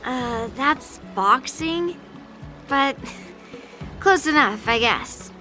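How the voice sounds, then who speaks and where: A woman's voice: high-pitched, expressive, drawn-out words, music in the background, heavily acted. A woman voicing a brash, confident young female sword master in an anime-style game, blunt and teasing. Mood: amused, teasing.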